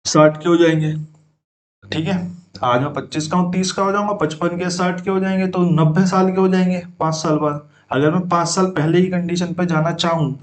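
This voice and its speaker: Young male math tutor, step-by-step explainer, slightly higher pitch. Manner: talks students through each step, addressing them as brother. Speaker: math teacher. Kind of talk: exam-prep math lesson.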